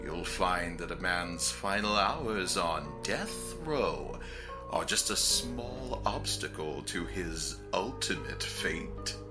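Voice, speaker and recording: Man playing a very slow, deep, sinister storyteller. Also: expressive, over music. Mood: ominous relish. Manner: slow, deliberate, theatrical. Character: sinister theatrical storyteller introducing a grim tale. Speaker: narrator. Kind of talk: scripted horror-anthology narration.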